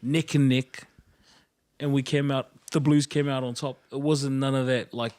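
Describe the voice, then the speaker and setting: A man with a croaky voice after a night out, co-hosting a casual sports podcast with loud, laughing banter. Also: mid-range voice, level tone, moderate pace. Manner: rowdy, laughs often, shouts chants. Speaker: co-host.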